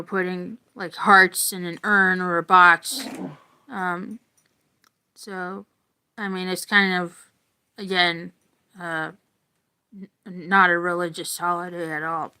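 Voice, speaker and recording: A woman's voice: lowish voice, bright, forceful tone, smooth, rough recording. A woman reading a children's nonfiction book aloud like an educator, adding informal, casual asides.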